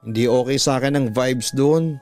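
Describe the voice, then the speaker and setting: A man's voice: medium pitch, normal speed, darkish tone, faint music behind, lightly acted. A man with a Filipino accent voicing an uneasy schoolgirl who earnestly warns a friend, in a radio drama. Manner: earnest, cautioning. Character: uneasy schoolgirl warning her friend of ghosts.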